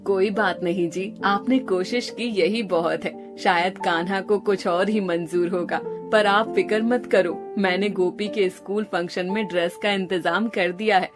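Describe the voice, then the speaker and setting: A woman voicing a patient, devout poor village mother, gentle and earnest, in a moral story. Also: mid-range voice, steady tone, unhurried, hardly any pauses, music in the background, natural voice. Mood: gentle, reassuring.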